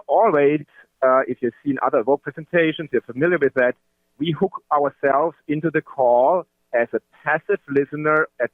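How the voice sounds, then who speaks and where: A man presents a business webinar over a thin, phone-like connection with very little room sound. His pitch is average for a male voice. He explains in a halting way, often inserting little interjections and asking himself questions before answering them. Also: dry recording. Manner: halting, with frequent interjected "well" and self-questions. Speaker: webinar presenter from a call analytics company. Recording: narrow-band, phone-like dry line.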